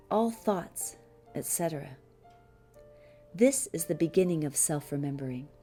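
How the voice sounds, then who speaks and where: A woman narrates a spiritual text in a soft-edged, mellow voice that sits slightly low. She reads in a measured, deliberate way, breaking sentences into short phrases with pauses. Faint-to-clear music plays behind her. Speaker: audiobook reader.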